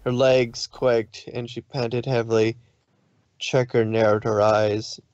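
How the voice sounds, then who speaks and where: A man narrating a scripted story, somewhat haltingly, with occasional stumbles over the words. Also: mid-range voice, level tone, a little mellow, no echo, dull audio.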